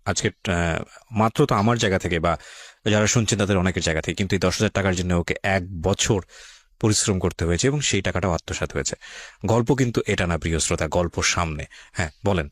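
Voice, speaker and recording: Very deep, fast-talking Bangladeshi male host. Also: dry recording. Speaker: radio show host. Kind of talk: interviewing and addressing listeners.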